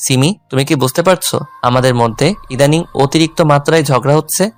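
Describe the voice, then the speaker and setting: A man's voice: medium pitch, brisk, soft background music, close to an everyday voice. A man playing a jealous, teasing young husband in a dramatized romantic audio story. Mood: coaxing, romantic. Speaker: narrator and male lead of an audio love story.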